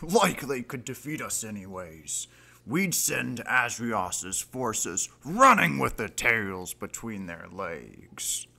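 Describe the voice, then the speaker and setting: An actor playing a boastful, hot-tempered dwarven paladin in a fantasy audio drama, declaiming grandly. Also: male voice, medium pitch, big pitch swings, takes it slowly, vocal fry, bright, forceful tone, full character voice.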